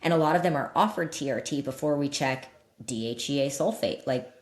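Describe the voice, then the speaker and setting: A woman with a slightly deep voice, speaking as an expert guest in a candid, informal, emphatic way, explaining things in an interview conversation. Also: brisk. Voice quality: slightly deep voice that cracks when loud. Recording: roomy echo.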